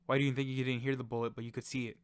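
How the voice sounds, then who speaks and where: A man playing an anxious, loyal, excitable friend in an amateur survival audio drama. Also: medium pitch, rapid-fire, clear, resonant tone, dry recording, close to an everyday voice. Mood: earnest. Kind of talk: amateur audio fiction dialogue.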